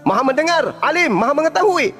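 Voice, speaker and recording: High-voiced man preaching in heated debate. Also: very animated, quick pace, soft background music. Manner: forceful, rhetorical questions, swings from low to loud emphasis.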